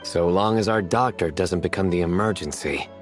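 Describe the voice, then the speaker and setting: A man voicing a laid-back young man fond of puns in a video game, dry, teasing and deadpan. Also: low voice, normal speed, natural voice. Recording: music playing behind the voice.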